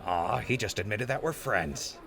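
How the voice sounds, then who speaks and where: A man's voice: on the low side, expressive, moderate pace, creaky, fairly bright tone. A man playing a friendly, wisecracking ghoul wastelander in an audio drama, with a casual, joking manner. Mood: teasing.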